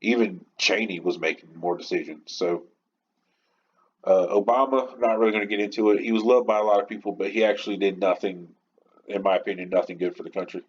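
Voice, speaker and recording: A man's voice: deep voice, steady tone, brisk, breathy edge. A man hosting a podcast, giving a casual, rambling, opinionated monologue in an informal way. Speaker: podcast host. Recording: muffled lo-fi home recording, background noise.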